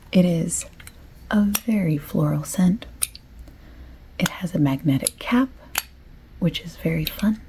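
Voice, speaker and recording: A woman speaks in a hushed, breathy ASMR style that often falls into whispering, with plenty of vocal fry. She takes her time, explaining items like a chatty shop assistant. Her pace is slow, over a faint hiss and small clicks. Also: lowish voice, poor audio. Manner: unhurried, chatty, overexplaining. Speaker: ASMR creator playing a perfume shop assistant. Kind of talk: soft-spoken ASMR roleplay with whispering. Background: light clicking and tapping of items.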